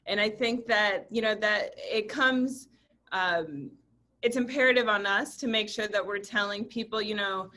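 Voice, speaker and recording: A woman's voice: medium pitch, a little slow, pushed and bright. A woman from Puerto Rico speaking earnestly on a video call panel, with frequent hesitant fillers.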